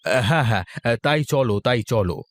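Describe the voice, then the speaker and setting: A man's voice: mid-range voice, expressive, brisk, no room sound, studio quality, lightly acted. A man voicing a mild, homely married snack seller in a children's cartoon. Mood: agreeable. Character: mild, homely married fuchka seller who farms fish. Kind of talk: Bengali children's cartoon acting.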